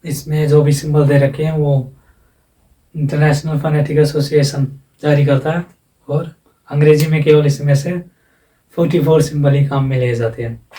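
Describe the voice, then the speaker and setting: A man's voice: on the high side, steady tone, moderate pace, dark tone, far from the mic, low-quality recording. A man teaching a lesson in a casual explanatory voice-over. He explains plainly, repeats himself and asks rhetorical questions. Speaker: English teacher. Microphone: voice-over, face not shown.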